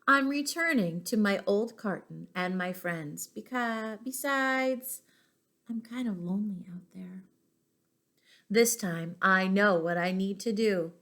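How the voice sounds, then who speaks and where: Woman playing an earnest storybook egg, slow and friendly. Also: mid-range voice, fairly bright tone. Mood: earnest, resolved.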